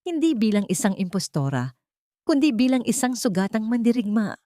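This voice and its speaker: A woman's voice: on the high side, big pitch swings, fairly quick, warm tone, no room sound, studio quality. A woman narrating a scripted audio drama in a solemn, melodramatic manner.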